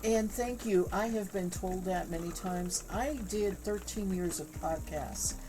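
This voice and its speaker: Unhurried woman with a soft, calming voice. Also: lowish voice, rough recording. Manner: unhurried, chatty, laughs easily. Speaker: livestream host spinning yarn. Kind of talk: casual chat with live viewers. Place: home crafting room with spinning wheel.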